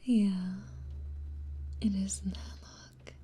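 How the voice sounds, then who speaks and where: Casting: woman as a young alien girl for ASMR roleplay, breathy whisper, slow and intimate, friendly with a gentle tease, close binaural mic. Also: medium pitch, fairly even intonation, lightly acted. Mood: gentle, reassuring. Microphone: close binaural 3Dio microphone.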